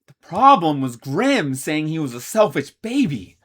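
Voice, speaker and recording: A man's voice: fairly high pitch, sing-song, measured pace, fairly bright tone, pitched above the performer's own voice, exaggerated voice. A man voicing an earnest, serious teenage boy student in a raised voice.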